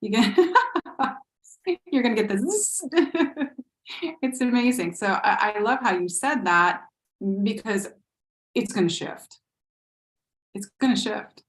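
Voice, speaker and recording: An American woman telling lively stories on a panel, often breaking into laughter. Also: on the high side, slow pace, long pauses. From United States. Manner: animated, laughs often, storytelling. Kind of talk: casual panel discussion. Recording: echoey room.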